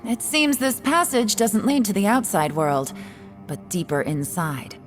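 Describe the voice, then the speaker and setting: A woman voicing a composed, resolute young scout in a video game, measured, cautious and serious. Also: mid-range voice, big pitch swings, music in the background. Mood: grave.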